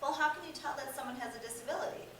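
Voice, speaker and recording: A woman's voice: high voice, racing, fairly bright tone. A young American woman playing a curious, forthright school-age classmate in amateur reader's theater. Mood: inquisitive. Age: young adult. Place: echoey indoor room. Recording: echoey room, distant microphone, clear background noise.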